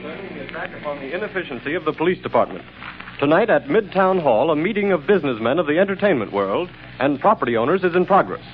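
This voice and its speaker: A man plays an urgent old-time newscaster. He is fast, formal and fairly high, with muffled sound and faint noise. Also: lively intonation, close to an everyday voice. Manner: formal, declamatory. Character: urgent, declamatory male radio newscaster. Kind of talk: 1930s news bulletin.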